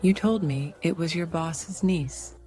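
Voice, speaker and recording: An actress playing a secretive, evasive 1940s femme fatale in a noir radio drama, measured and hesitant. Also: female voice, lowish voice, dark tone. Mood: anxious, defensive.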